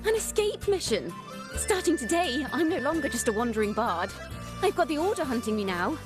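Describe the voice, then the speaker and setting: A woman acting a spirited, loyal young wandering bard in a video game cutscene, expressive like a storyteller. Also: high voice, brisk. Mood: excited. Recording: loud music behind. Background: music.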